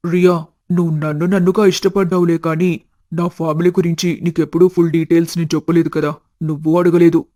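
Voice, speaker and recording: A male actor plays an earnest young man in love in a cartoon story. His voice sits a little high for a man, yet it is soft and mellow. The recording is dry and close. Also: flat delivery, brisk, no echo, lightly acted. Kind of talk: scripted cartoon story dialogue.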